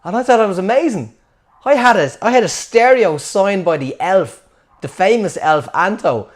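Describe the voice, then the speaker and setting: A man's voice: high-pitched, lively intonation, normal speed. A man from Dublin with a Dublin Irish accent, telling a funny childhood story in a wry, rambling, self-mocking way. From Dublin, Ireland. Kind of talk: casual comic storytelling.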